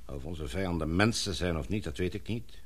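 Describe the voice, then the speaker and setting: An actor playing a grave, fatherly older gentleman in a radio drama, formal and measured. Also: deep voice, slightly rough. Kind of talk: radio drama acting in Dutch.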